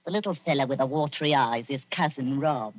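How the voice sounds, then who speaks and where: Sly, fawning old crone, muffled. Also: female voice, extremely low pitch, quick pace. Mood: gloating, sly. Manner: fawning, singsong endearments. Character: sly, wheedling old body-snatcher woman, falsely sweet. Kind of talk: 1940s radio acting. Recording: dull 1940s radio recording.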